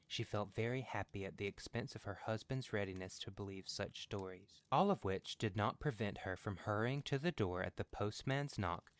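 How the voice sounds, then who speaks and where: Low-voiced man narrating a story. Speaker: podcast narrator.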